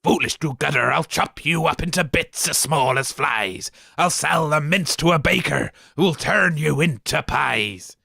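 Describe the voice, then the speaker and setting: A man's voice: fairly high pitch, lively intonation, measured pace, gravelly, hardly any pauses, sharp tone, slightly rough, no room sound, studio quality, voice pushed down, full character voice. A man voicing an evil, scheming pirate captain in rhyming verse. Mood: menacing. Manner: rhyming villain speech.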